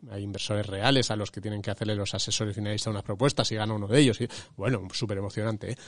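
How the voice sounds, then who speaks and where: A man's voice: on the low side, no room sound. A man with a Spanish accent hosting a casual finance podcast, joking and laughing often with his co-host. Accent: Spanish from Spain. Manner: jokey, laughs often, quick banter. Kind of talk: casual chat.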